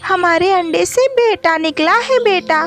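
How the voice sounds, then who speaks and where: Woman playing an anxious, high-voiced mother bird. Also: measured pace, over music. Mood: placating. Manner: pleading, tender. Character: anxious, devoted mother songbird. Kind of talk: Hindi moral-story cartoon character voice.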